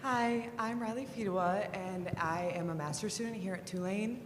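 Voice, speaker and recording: A woman's voice: mid-range voice, normal speed. A young woman in her twenties, a graduate student, eagerly asking a panel a question from the audience. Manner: eager, earnest. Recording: echoey hall with background noise.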